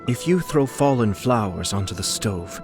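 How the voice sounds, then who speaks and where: An actor playing a suave young nobleman and scheming clan head in a video game, speaking in a polite, measured, formal and slyly amused manner. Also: lowish voice, animated, music in the background. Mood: composed. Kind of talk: scripted video game character lines.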